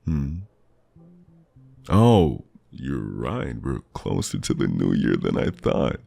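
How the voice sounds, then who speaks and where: Very deep, gravelly flirty man, voice-acted. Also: wildly swooping pitch, takes it slowly, mellow, soft background music, full character voice. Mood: tender, eager. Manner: smooth-talking, teasing, lingering delivery. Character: flirty charming male stranger at New Year's party. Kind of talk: scripted ASMR roleplay, intimate and teasing.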